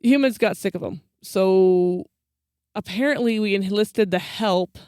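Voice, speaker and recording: A woman's voice: medium pitch, a little slow, mellow, clean tone, no echo, clean studio sound. A woman co-hosting a podcast, telling stories casually with flippant, slangy asides. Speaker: podcast co-host. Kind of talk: casual storytelling chat.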